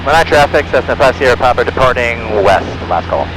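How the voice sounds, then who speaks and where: Man pilot over loud aircraft noise. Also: medium pitch, animated, brisk. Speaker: pilot flying small plane. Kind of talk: casual in-flight remarks and radio calls. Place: small Cessna cockpit in flight. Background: airplane engine drone.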